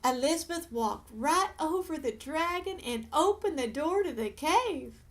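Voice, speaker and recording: A woman storyteller with a high voice. She narrates a children's tale expressively, reading aloud at a slow, deliberate pace. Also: expressive.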